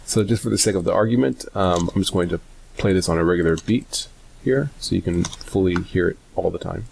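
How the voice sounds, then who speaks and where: A man giving a casual software tutorial, hesitant and informal as he thinks aloud. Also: sits low, brisk, vocal fry, slight background noise. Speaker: tutorial host.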